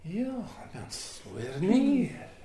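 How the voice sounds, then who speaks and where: A man playing a courteous, self-absorbed philosopher and writer in an audio drama, musing and halting as he explains himself. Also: high voice, sing-song, dark tone. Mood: welcoming, distracted.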